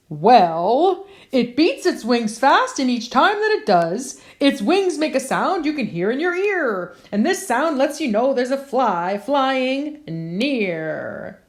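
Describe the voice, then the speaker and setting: A woman with a high voice reads a picture book aloud to children. Her delivery is theatrical and playful, with lively swings in pitch. She takes her time and leans on key words.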